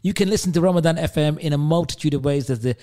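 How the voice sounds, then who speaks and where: A man's voice: fairly high pitch, rapid-fire, mellow. A man hosting a live radio show in a studio, chatty and self-deprecating, with frequent restarts. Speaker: radio host.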